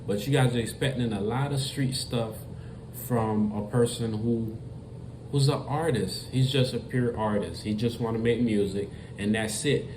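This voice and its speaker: A man's voice: medium pitch, moderate pace. A man giving a casual commentary monologue, talking straight to his viewers, repeating himself and stressing his points. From Florida. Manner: repetitive, emphatic, talks straight to viewers. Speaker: commentary vlogger. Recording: echoey room with faint noise.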